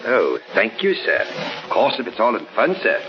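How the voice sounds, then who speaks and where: An actor playing a deferential ship's steward, polite and halting, in 1930s radio style. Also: male voice, mid-range voice, wildly swooping pitch. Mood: hesitant. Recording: dull, lo-fi 1930s radio recording.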